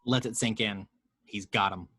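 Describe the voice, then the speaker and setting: A man's voice: lowish voice, steady tone, moderate pace, pushed and bright, breathy edge, dry recording, studio quality. A man narrating the action lines of a screenplay table read, with wry, playful asides.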